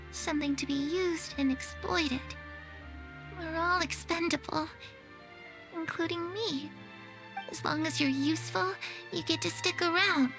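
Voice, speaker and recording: A woman playing a disillusioned, secretive young girl in a video game, speaking with wistful, bitter resignation. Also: extremely high pitch.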